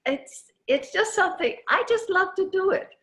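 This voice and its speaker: A woman from Denmark who grew up in Venezuela, speaking English with an accent as an interview guest, animated and laughing often. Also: very high-pitched, moderate pace, roomy sound. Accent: foreign accent. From Denmark, raised in Venezuela. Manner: animated storytelling, laughs often, many restarts. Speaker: entrepreneur guest. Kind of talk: casual interview chat.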